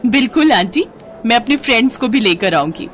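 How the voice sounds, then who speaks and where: A woman's voice: on the high side, expressive, brisk, fairly bright tone, soft background music. A woman voicing a kind, helpful young female customer in a moral story, eager to help. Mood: cheerful, encouraging. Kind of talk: storybook character acting.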